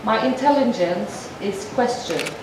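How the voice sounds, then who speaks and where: A woman voicing a formal speech to an assembly as a sign language interpreter, measured and halting, with frequent restarts. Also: on the high side. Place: large assembly hall. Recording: echoey hall from far off, noisy.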